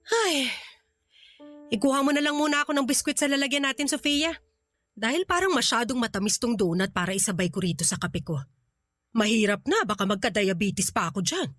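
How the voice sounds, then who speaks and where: A woman's voice: high voice, fairly bright tone. A woman playing a critical, blunt middle-aged aunt in a radio drama, needling in a Filipino accent. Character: sharp-tongued, critical aunt vetting her niece's suitor. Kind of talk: Tagalog radio drama acting.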